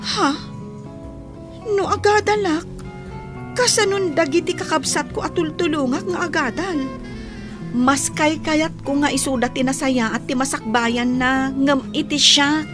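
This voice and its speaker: A woman's voice: sits high, a little slow. A woman playing an earnest, plain-spoken young housemaid in a Filipino radio drama. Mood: tender, unsure. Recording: music bed under the dialogue.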